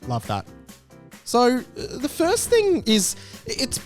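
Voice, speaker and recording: Chatty man with a higher voice. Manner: rambling, self-interrupting, candid. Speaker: podcast host. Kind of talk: casual solo podcast chat.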